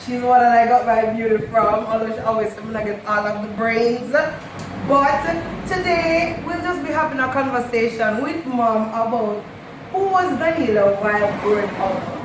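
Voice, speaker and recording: A woman's voice: fairly high pitch, moderate pace. A woman hosting a casual chat with her mother, playful and chiming in. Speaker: channel host. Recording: echoey room, distant mic, noisy.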